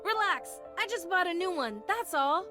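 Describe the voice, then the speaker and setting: High-voiced, easygoing young soldier woman. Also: lively intonation, moderate pace, over music. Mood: breezy, teasing. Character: easygoing self-deprecating young female street urchin turned soldier. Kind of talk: video game voice acting.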